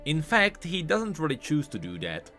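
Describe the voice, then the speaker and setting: A man giving scripted, explanatory commentary as a video creator covering game lore, in an animated manner. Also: fairly high pitch, normal speed, soft background music.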